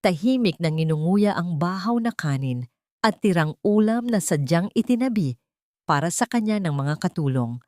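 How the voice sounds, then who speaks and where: Low-voiced woman narrating a dramatic story. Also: lively intonation, moderate pace, a little mellow, dry recording.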